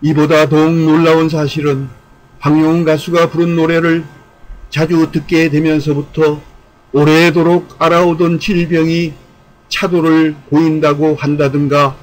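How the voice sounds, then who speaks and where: A man narrates a prepared commentary in a somewhat high voice. His intonation stays very flat and even. The phrasing is formal and measured throughout. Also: poor audio. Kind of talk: scripted narration of a fan commentary.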